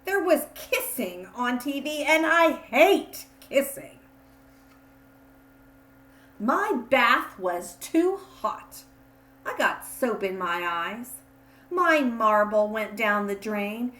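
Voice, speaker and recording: Lively woman reading a kids' story. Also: mid-range voice, reverberant. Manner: expressive, drawn-out emphasis on repeated refrain. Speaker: children's book reader. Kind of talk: read-aloud storytelling for kids.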